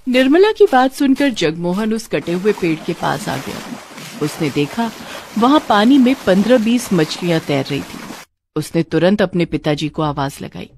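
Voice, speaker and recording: A woman's voice: fairly low pitch, sing-song, moderate pace, a little mellow, slight background noise. A woman narrating a scripted cartoon story as a storyteller.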